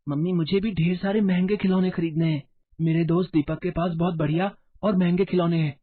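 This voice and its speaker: A man's voice: sits high, fairly even intonation, a little fast. A man voicing an eager, demanding little boy in a cartoon moral story. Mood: eager, pleading. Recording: muffled, narrow phone-like band.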